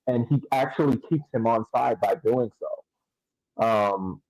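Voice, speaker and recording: A man co-hosting a casual sports podcast, explaining play in a measured way. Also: mid-range voice, darkish tone, no room sound, low-quality recording. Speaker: podcast co-host.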